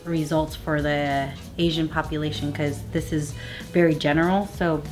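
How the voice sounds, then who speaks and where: The woman on a couple's video blog, low-voiced and teasing, chatting and reading things out over a soft music bed. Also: fairly even intonation, moderate pace. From Vietnamese family. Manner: playful, teasing. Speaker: vlog co-host. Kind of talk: casual chat, reading results aloud.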